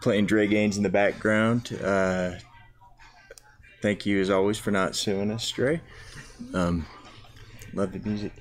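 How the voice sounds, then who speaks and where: A man's voice: lowish voice, fairly even intonation, slow pace, long pauses. A man in his forties hosting a casual studio talk show, joking and wry with his guests. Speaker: host and company CEO. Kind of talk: casual chat. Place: small studio with green-screen set.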